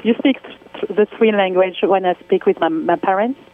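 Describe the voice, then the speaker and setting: A woman from Congo with a French accent, speaking as an artist guest on a phone interview, soft-spoken and halting in English, laughing often. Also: medium pitch. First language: Swahili, Lingala and French. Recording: tinny phone line with faint noise.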